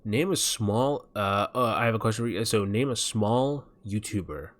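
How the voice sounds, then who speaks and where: A man's voice: fairly low pitch, animated, normal speed, a little hiss or hum. A man streaming a game, chatting casually and joking as he reads game text aloud. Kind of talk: casual gameplay chat. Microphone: desk mic.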